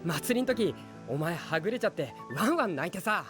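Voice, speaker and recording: A man's voice: high-pitched, big pitch swings, racing, music in the background. A man playing a curt, moody teenage boy in Japanese anime. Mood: wistful.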